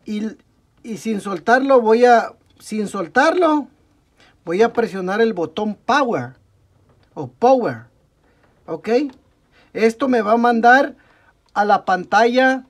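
A young man with a Mexican Spanish accent, walking through a computer repair step by step at his workbench, often pausing to correct himself. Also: high voice, animated. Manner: talks through each step while working, frequent "ok, amigos" and self-corrections. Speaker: tech tutorial host. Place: indoor workbench at a desk.

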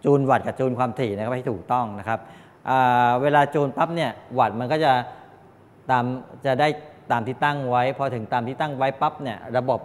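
Older man lecturing in a reverberant room. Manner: halting, with frequent repeated polite particles. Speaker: technical college lecturer. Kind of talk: classroom lecture, explaining from slides. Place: medium-sized indoor classroom with students. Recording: reverberant room, clear background noise, low rumble.

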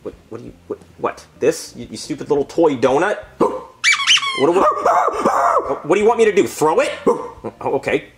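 A man voicing a grumpy, sarcastic police officer who keeps breaking into excited yelps. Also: on the high side, moderate pace, pauses often, background noise. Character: grumpy sarcastic male cop.

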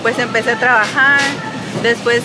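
A woman's voice: fairly high pitch, fairly even intonation, moderate pace, heavy background noise. A woman in her forties from Mexico with a northern Mexican accent, chatting relaxedly in a supermarket interview. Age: 40s. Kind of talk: casual street interview. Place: large supermarket indoors.

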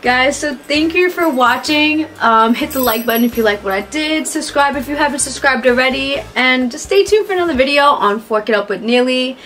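A woman's voice: sits high, lively intonation, brisk, hardly any pauses, a bit bright, soft background music. Stuffy-nosed young woman chatting casually in a kitchen. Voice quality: chatty, laid-back, sprinkles in slang and fillers. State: stuffy, congested from a cold. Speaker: cooking vlogger. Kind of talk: casual cooking tutorial. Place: home kitchen.

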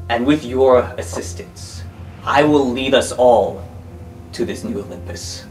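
A man voicing a calm, megalomaniacal villain headmaster in a tabletop game, smooth-talking and condescending. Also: medium pitch, pitched above the performer's own voice, natural voice. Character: calm, megalomaniacal male supervillain academy headmaster.

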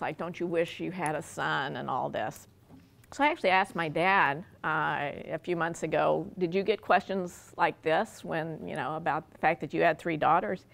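An older woman with an American accent speaks in a slightly low voice with plenty of creak. She is giving an informal personal lecture. Her manner is wry and self-deprecating. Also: a bit bright. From Dallas, Texas. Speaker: university speaker. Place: lecture room with audience, indoors.

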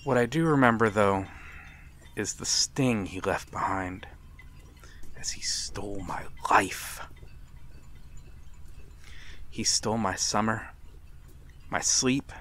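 Guy doing a brooding vampire for asmr roleplay, lowish voice, really slow n soft, polite but menacing. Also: slight background noise. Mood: haunted, sorrowful. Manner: slow, soft-spoken, theatrical, dropping into whispers. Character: brooding, courteous, menacing male vampire stranger. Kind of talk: ASMR roleplay, dramatic monologue.

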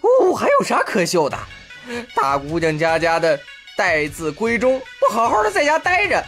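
A male actor playing an excitable, chatty patrol officer in a comedic radio drama, talking in a blustering, colloquial way. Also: sits high, moderate pace, soft background music, heavily acted. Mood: exasperated.

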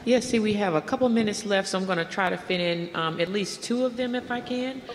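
A woman's voice: medium pitch, brisk. A woman relaying audience questions to a panel in unscripted, informal speech. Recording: reverberant hall, faint noise.

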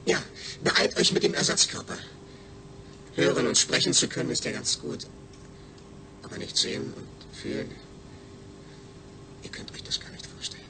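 A man's voice: mid-range voice, animated, normal speed, creaky, long pauses, background noise. A man playing an eager, needy astronaut's brain speaking emphatically through a speech synthesizer in a radio drama. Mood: anxious, pleading. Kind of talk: German radio drama acting.

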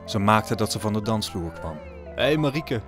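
A man's voice: fairly low pitch, steady tone, moderate pace. A man narrating a story in a scripted audio drama style. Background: music.

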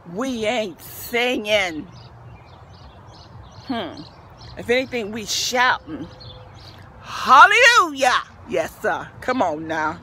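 An older woman with a high voice that she says is wearing out speaks in a lively, churchy, encouraging way. She films herself outdoors in her backyard on a handheld phone, with a faint hiss behind her. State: losing her voice. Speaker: vlogger. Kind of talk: casual home video tour.

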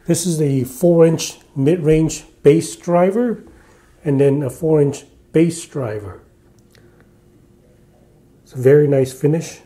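A mellow-voiced man in his forties talks through a speaker review at an easy pace, with plenty of uh's and restarts. He's filmed at chest height in a bare, echoey living room with no carpeting. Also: fairly high pitch, takes it slowly, long pauses. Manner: relaxed, chatty, with frequent uh fillers and restarts. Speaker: audio reviewer. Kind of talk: casual product review.

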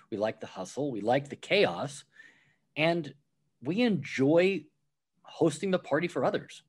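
Man explaining restaurant management calmly. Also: mid-range voice. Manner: explains with worked examples, acts out quoted replies. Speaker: author and restaurant guest. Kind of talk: conversational podcast interview.

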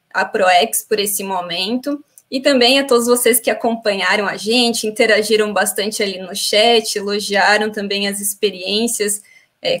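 A woman's voice: mid-range voice, a bit bright. Young woman moderating a video panel. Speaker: event moderator. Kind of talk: closing thanks as moderator on a video call.

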